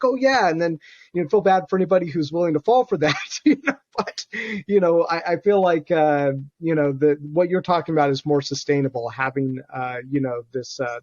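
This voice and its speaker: Higher-voiced man on dull, dry audio. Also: darkish tone, no room sound. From United States. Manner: reflective, loose, full of fillers. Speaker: podcast host. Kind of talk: casual podcast interview.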